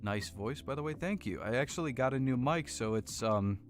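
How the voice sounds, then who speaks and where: An American man with a deep voice chatting casually and rambling a little as he hosts a livestream on a close desk microphone. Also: animated, moderate pace, faint music behind. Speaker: livestream host building model kits. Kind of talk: casual chat with live viewers. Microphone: close desk condenser microphone.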